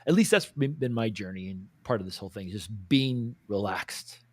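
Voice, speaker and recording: A man's voice: lowish voice, expressive, moderate pace, no room sound. A man hosting a podcast in a laid-back, casual way. He calls his guest "dude" and "brother" and uses many fillers. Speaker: podcast host. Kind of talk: casual conversation.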